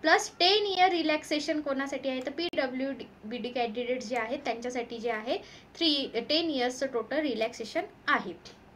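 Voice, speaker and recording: Indian female coaching instructor, high voice, explanatory read-through with mixed-language terms, faint background noise. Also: normal speed, a bit bright. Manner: code-switches between Marathi and English terms. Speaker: exam coaching teacher. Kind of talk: explanatory lesson, reading notification points aloud.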